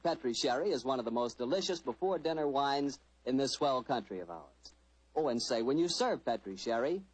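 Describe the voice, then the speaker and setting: An American man working as a 1940s radio announcer. He sells in a folksy, persuasive way, with a middle-pitched, husky voice. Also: poor audio. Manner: folksy, persuasive sales delivery.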